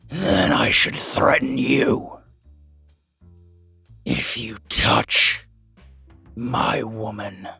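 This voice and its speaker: Man playing a slow, gravelly, brooding crime boss. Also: medium pitch, expressive, pushed and bright, no room sound, soft background music, heavily acted. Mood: furious, protective. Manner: slow, intense, threatening. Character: brooding, dangerous dragon mafia boss, fiercely protective man. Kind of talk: audio roleplay acting.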